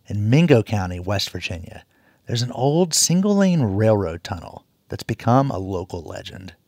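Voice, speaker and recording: A man's voice: on the low side, big pitch swings, normal speed, darkish tone, dry recording, clean studio sound. A man hosting a public radio program, moving between interview questions and scripted narration. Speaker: radio host.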